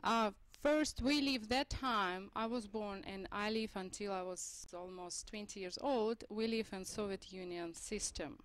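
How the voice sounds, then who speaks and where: A woman from Moldova with a Russian accent and Russian as her first language, speaking haltingly as an interview guest. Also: medium pitch, poor audio. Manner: halting, searching for words.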